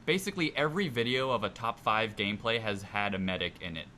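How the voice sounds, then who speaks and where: A man's voice: lowish voice, fast, sharp tone. A man co-hosting a casual gaming podcast, explaining his picks in an informal, easygoing way. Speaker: podcast co-host. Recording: noisy amateur podcast recording.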